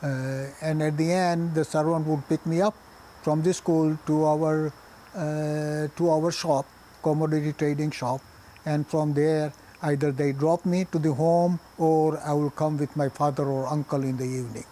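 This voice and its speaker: An older man from Gujarat in India, a native Gujarati speaker with a Gujarati Indian accent, recalling his life in plain, halting speech with pauses and restarts. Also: fairly high pitch, level tone, warm tone, slight background noise, low-quality recording. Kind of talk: oral history reminiscence.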